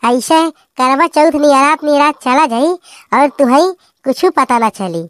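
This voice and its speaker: Scolding cartoon village spouse, played by a woman, Bagheli accent, fairly high voice, dry recording. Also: unhurried, dry recording. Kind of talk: comedy cartoon dialogue.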